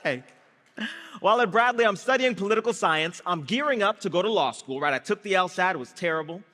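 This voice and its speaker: Energetic young man speaking in a hall. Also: sits high, fast. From Brazil, raised in Guyana. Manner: energetic storytelling, comic timing, addresses the crowd. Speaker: startup founder giving a gala speech. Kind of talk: live stage speech with audience call and response. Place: large event hall before an audience. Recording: reverberant hall through a PA.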